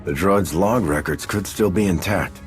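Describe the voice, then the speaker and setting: A man acting a resolute war hero commander in a video game, measured and authoritative. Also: deep voice, over music, lightly acted.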